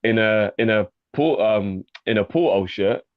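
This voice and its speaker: Male co-host, lowish voice, slangy and laughing, dry dull lo-fi mic. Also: a little slow, no room sound. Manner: slangy, laughs often, says bro a lot. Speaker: fan channel co-host. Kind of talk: casual live call-in chat.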